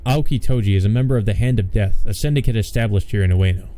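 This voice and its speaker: A man's voice: fairly low pitch, quick pace, mellow. A man reading a visual novel aloud as a let's player, adding wry, offhand comments. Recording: faint music behind the voice.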